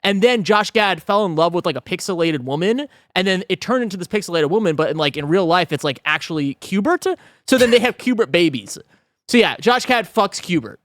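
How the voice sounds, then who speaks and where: A man chatting casually on a podcast panel, telling things in a jokey, animated, sweary way. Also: high voice, brisk, dry recording, clean studio sound. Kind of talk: casual group chat.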